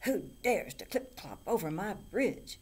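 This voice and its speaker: A woman voicing a wicked, greedy storybook troll with a menacing, booming, chanting delivery. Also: big pitch swings, measured pace, darkish tone, slightly rough. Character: wicked terrifying male troll, greedy and blustering.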